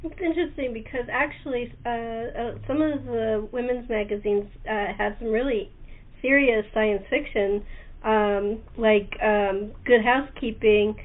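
A woman hosting a casual interview, chatting in tentative, halting phrases. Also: on the high side, steady tone. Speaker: interview host. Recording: narrow-band phone-like radio line.

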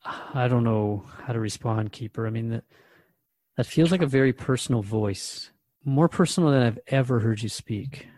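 A man's voice: mid-range voice, normal speed, a little mellow, dry recording, close to an everyday voice. A man playing an earnest radio host in a radio drama, talking in a thoughtful, hesitant, conversational way. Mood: troubled, searching. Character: Jeff, earnest radio host questioning a spirit.

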